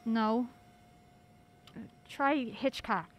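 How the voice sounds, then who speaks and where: A woman playing an anxious, urgent heroine in a mystery audio drama, excitable and insistent. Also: on the high side, extremely slow. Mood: focused, uneasy. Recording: clearly noisy audio drama track.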